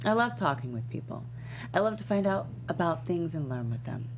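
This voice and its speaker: A woman's voice: lowish voice, very animated, fairly quick, slight background noise. A woman at a script table read playing a proud, wounded and principled female talk show host. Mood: composed, firm.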